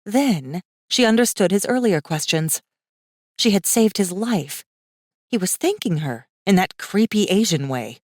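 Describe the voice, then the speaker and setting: A woman narrating a fiction audiobook in an expressive, scripted storytelling style. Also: medium pitch, a little slow, dry recording, clean studio sound.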